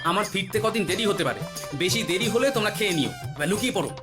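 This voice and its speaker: An actor playing a crafty, cheeky court jester in a cartoon, with a chirpy, matter-of-fact manner. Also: male voice, sits high, lively intonation, fast talker. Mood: brisk. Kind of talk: cartoon voice acting. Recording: music behind the voice.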